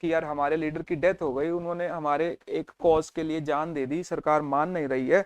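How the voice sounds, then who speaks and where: A man's voice: on the high side, steady tone, moderate pace, smooth, dry recording. A man teaching an exam revision lesson, telling the history as a story and checking in with his students as he goes. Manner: storytelling, mixes Hindi and English, checks in with students.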